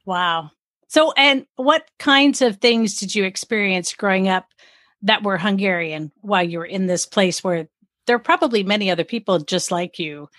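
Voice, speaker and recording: A woman's voice: medium pitch, moderate pace, a bit bright, dry recording, clean studio sound. A woman hosting an interview, friendly and enthusiastic, with short affirming reactions. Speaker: interview host.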